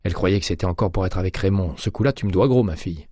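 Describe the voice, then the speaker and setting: A man's voice: deep voice, fast, mellow, dry recording, clean studio sound. A man reading an audiobook, voicing a chatty, bossy female co-worker who exclaims and scolds familiarly. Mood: teasing scolding. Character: chatty, bossy woman co-worker, gossipy friend.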